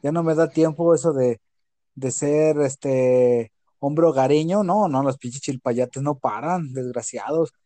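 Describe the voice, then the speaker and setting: A middle-aged man from Jalisco with a Mexican accent, chatting casually and telling animated stories full of crude slang. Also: medium pitch, measured pace, no room sound. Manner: animated storyteller who acts out quotes, with crude slang. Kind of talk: casual chat.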